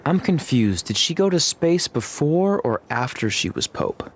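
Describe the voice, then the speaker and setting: Game cutscene role: earnest, goofy male best pal, supportive, wry, very lively intonation. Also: on the high side, a little slow, slight background noise. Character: earnest, goofy, supportive young wrestler sidekick. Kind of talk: video game cutscene acting.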